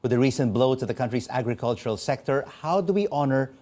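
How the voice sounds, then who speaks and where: A man's voice: mid-range voice, expressive, mellow. A man with a Filipino accent, a polished, upbeat news anchor hosting an interview in a TV studio. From Philippines. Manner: polished and upbeat, with quick laughs.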